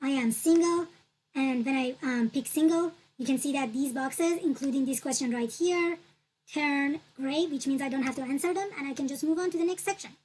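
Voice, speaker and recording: A woman whose first language is Turkish, calmly walking through an online form step by step, repeating her points with fillers. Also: sits high, normal speed, rough recording. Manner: patient, repetitive instructional talk with fillers. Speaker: tutorial presenter. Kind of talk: screen-recorded walkthrough.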